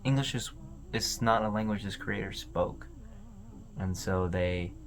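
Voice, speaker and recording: A man with a fairly low voice hosts an informal video commentary. He talks casually and haltingly, rambling as he works through his thoughts. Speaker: video host.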